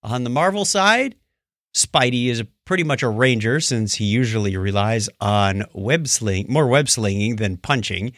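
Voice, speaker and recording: A man's voice: mid-range voice, expressive, moderate pace, a bit bright, no room sound, clean studio sound. A man co-hosting a podcast in a casual, chatty style. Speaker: podcast co-host.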